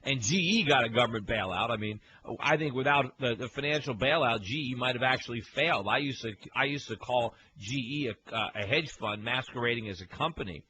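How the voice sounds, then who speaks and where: A man hosting a call-in radio show from a home studio, talking in a quick, chatty way with many fillers and repeats. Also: medium pitch, sharp tone, slight background noise, poor audio. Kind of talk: casual call-in talk radio.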